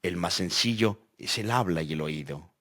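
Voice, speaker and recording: A man plays a philosophical thinker with a lowish voice. He speaks reflectively in aphorisms, as one voice in a scripted audio dialogue. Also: moderate pace, a bit bright.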